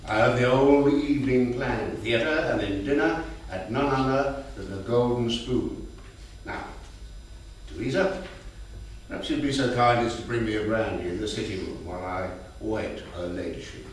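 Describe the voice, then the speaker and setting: A man's voice: mid-range voice, moderate pace, a little hiss or hum, lightly acted. A man playing a courtly old grandfather, echoey. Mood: courtly, teasing. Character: debonair, teasing, blind old grandfather, wealthy gentleman. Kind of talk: staged script reading. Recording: very echoey theatre from far off.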